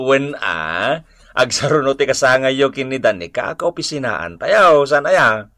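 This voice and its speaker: A man's voice: mid-range voice, big pitch swings, measured pace, pushed and bright, no echo, clean studio sound. A man with a Filipino accent playing a friendly, teasing young office coworker in a radio drama. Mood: cheerful, coaxing. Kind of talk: Ilocano radio drama acting.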